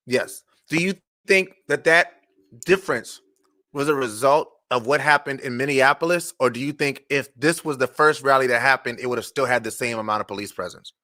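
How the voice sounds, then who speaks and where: An American man hosting a casual live interview, speaking haltingly and repeating words as he thinks. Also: mid-range voice, pushed and bright. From Charleston, United States. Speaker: talk show host.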